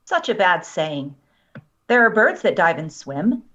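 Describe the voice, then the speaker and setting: Casting: woman to play blunt bookish sorceress, lowish voice, pedantic, muffled lo-fi mic. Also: sing-song, unhurried, sharp tone, full character voice. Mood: fussy, contradicting. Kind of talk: table read of a screenplay.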